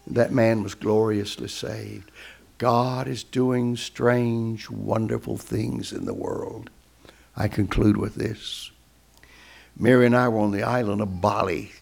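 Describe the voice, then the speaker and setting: A man's voice: lowish voice, level tone, takes it slowly, vocal fry. A man who is a guest speaker, telling a story in a sermon and acting out the voices of the people in it.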